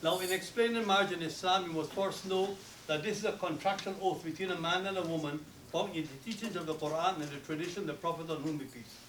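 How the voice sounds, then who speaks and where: Fast-talking Guyanese man preaching. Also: on the high side, a bit bright, echoey room, distant mic, slight background noise, poor audio. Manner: solemn, formal preaching with rapid Arabic recitation. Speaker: imam officiating a wedding. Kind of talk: wedding sermon.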